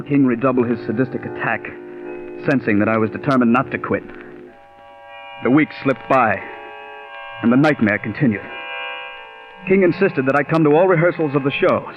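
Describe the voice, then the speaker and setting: An actor playing a bitter, stubborn, overworked scriptwriter in 1940s radio drama style, intense and brooding. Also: male voice, medium pitch, close to an everyday voice. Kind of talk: 1940s radio acting with first-person narration. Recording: dull 1940s radio recording.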